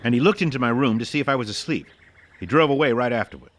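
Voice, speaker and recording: A man's voice: mid-range voice, fast talker, fairly bright tone, slight background noise, close to an everyday voice. A man playing a plucky, wary young amateur sleuth with breezy old-time film talk in an audio drama. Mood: curious, suspicious. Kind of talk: audio drama re-creation of 1930s mystery film.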